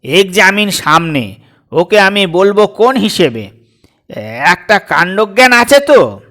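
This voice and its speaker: A man voicing a stern, fussy, suspicious middle-aged father in a comic audio story, loud and insistent. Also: sits high, big pitch swings, measured pace, voice pushed up, heavily acted. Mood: scolding, suspicious.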